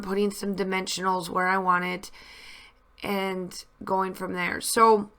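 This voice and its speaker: A woman's voice: medium pitch, bright, forceful tone. A woman narrating a scrapbooking video in a casual, rambling voice-over with many fillers and restarts, her voice a little groggy after losing it. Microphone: voice-over mic.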